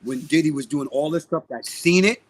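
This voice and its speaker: A man with a Brooklyn accent talks in a podcast interview. His voice sits a bit on the high side. He is outspoken and emphatic, hammering points home by repeating phrases. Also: moderate pace. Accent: Brooklyn, New York. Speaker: guest, singer and music producer. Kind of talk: live podcast interview talk.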